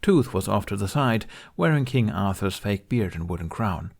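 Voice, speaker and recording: A man's voice: fairly low pitch, expressive, normal speed, gravelly, a little mellow. A man reading scripted fantasy fiction aloud as a narrator. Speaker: fan fiction reader.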